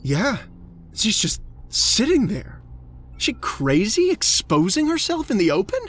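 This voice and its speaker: A man's voice: sits high, wildly swooping pitch, unhurried, fairly bright tone, full character voice. An actor playing an uneasy, hesitant man who hunts his victim, in old-time radio drama style. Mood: intent, puzzled.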